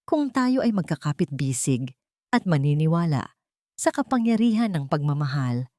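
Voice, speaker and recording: Low-voiced woman narrating a sentimental story. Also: a little mellow, no room sound, clean studio sound. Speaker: story narrator and channel host.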